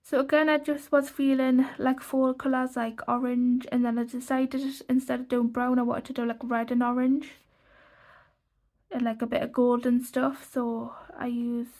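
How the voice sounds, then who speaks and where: A woman's voice: a little high, little pitch movement, normal speed, a little mellow. A young woman, stuffy from a cold, chatting casually through a colouring book flip-through with lots of "like" fillers. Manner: rambling, many "like" fillers and restarts. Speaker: colouring channel host.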